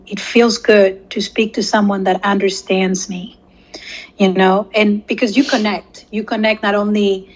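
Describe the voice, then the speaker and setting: A woman's voice: mid-range voice, lo-fi sound. A woman from Latin America, a native Spanish speaker, talking conversationally and telling anecdotes as an interview guest. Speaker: interview guest, corporate security professional.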